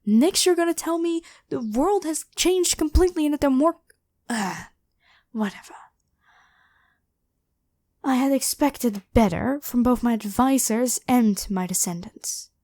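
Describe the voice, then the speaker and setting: A woman's voice: high-pitched, expressive, unhurried, pauses often, dark tone, no echo. A woman playing an evil, haughty ancient Egyptian pharaoh who makes theatrical demands in a scripted ASMR roleplay. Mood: dismayed, scornful. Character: evil, imperious ancient Egyptian pharaoh queen awakened from slumber.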